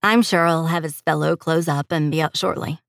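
A woman's voice: deep voice, fairly even intonation, moderate pace, vocal fry, dry recording, clean studio sound. A woman voicing a helpful, easygoing female nurse who chats with a friend in an audiobook. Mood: chatty.

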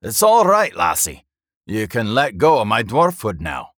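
Male narrator as dwarf warrior, gruff growl, folksy, fantasy audiobook, lively pitch, dry sound. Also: a little slow, no room sound, full character voice. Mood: teasing. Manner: folksy, says lassie and laddie.

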